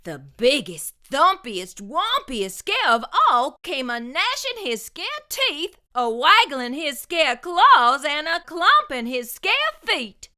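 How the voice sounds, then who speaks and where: A woman hosting a story time and reading a picture book aloud, expressive and sing-song. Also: high voice, takes it slowly, few pauses. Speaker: storyteller host.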